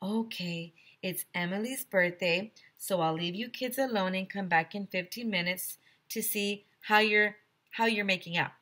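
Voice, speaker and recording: A woman's voice: medium pitch, unhurried, a bit bright. A woman voicing a patient, encouraging male school visitor in a storybook, questioning and coaxing. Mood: gentle coaxing.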